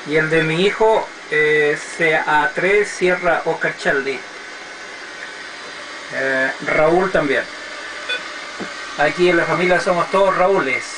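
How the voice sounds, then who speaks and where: A middle-aged man chatting casually and giving call signs on amateur radio. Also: a little high. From Chile. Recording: noisy amateur radio satellite contact.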